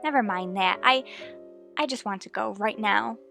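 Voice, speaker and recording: A woman's voice: mid-range voice, normal speed, vocal fry, pushed and bright, soft background music. An American actress playing an impulsive, stubborn married woman sleuth in an old radio drama, exclaiming and stressing her words. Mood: flustered, stubborn. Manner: exclamatory, emphatic. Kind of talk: mid-century radio drama acting.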